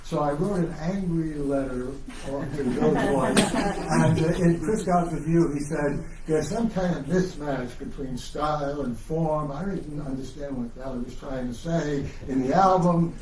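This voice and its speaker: A man's voice: a little high, a little slow, hardly any pauses. Older New York man, echoey and distant. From New York City, the Bronx. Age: 70s. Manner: rambling, digressive storyteller. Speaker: author speaking at a book event. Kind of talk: casual anecdotal talk to an audience. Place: indoor bookstore event, picked up from a distance. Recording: echoey room, distant mic, faint noise.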